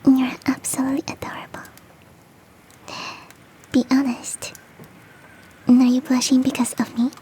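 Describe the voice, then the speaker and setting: A woman voicing a big, fluffy, motherly and flirty yeti in a soft, teasing, whispered ASMR roleplay. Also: sits high, completely flat delivery, measured pace, long pauses, a little hiss or hum. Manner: tender, teasing, coaxing.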